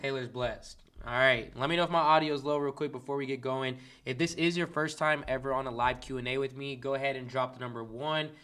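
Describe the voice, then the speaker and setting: Bright-voiced man hyping live chat. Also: mid-range voice, steady tone, fairly quick, few pauses, smooth. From Kansas City, Missouri, US. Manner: hypes up and greets chat viewers by name. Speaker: dropshipping coach hosting a livestream. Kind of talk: casual livestream Q and A.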